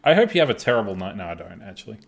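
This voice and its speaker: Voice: low-pitched, big pitch swings, racing, creaky, crowd noise. A stream host chatting casually with a group of friends during a live broadcast. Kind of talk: casual livestream chat among friends.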